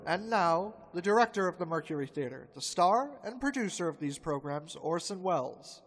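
A man's voice: high-pitched, lively intonation, normal speed, in a large hall, faint music behind. A man playing a 1930s radio announcer presenting the program in a formal cadence.

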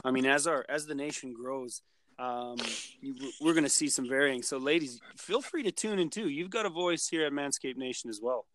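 A man's voice: mid-range voice, moderate pace, noisy background. A man co-hosting a casual podcast discussion, rambling through his thoughts with many restarts. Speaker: podcast co-host.

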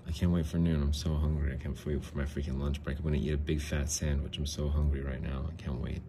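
A man's voice: a bass voice, flat delivery, fast, background noise. A man doing an impatient hungry coworker woman. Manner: whiny, repetitive complaining.

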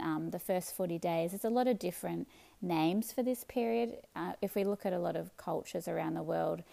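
An Australian woman in her thirties hosting a solo podcast, chatting in a relaxed way with many ums and restarts. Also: fairly low pitch, creaky. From Melbourne, Australia. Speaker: podcast host. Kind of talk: casual solo podcast chat.

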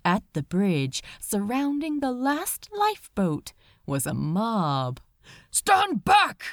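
A woman reading a story aloud as a dramatic, expressive narrator, drawing out words for emphasis. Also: medium pitch, slow, dry recording. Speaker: fan fiction reader.

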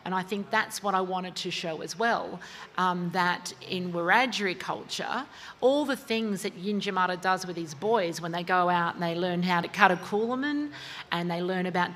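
A woman's voice: lowish voice, fairly bright tone, a little hiss or hum. An Australian woman author talking about her novel in an interview, chatty and full of fillers and restarts. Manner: chatty, lots of fillers and self-corrections. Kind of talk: interview at a book talk.

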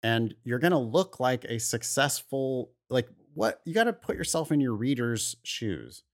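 A man's voice: mid-range voice, sing-song, unhurried. A man co-hosting an advice podcast, talking casually and giving blunt, opinionated takes. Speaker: podcast host.

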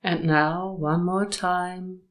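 A woman guiding a sleep meditation and hypnosis session in short, measured, soothing phrases with long pauses between them. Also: on the low side, fairly even intonation.

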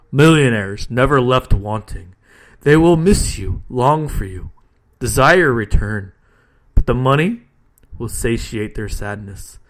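A man's voice: medium pitch, natural voice. A man voicing a towering robed cult leader who speaks coolly and commandingly, as part of a horror story he reads aloud. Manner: cool, measured, ominous.

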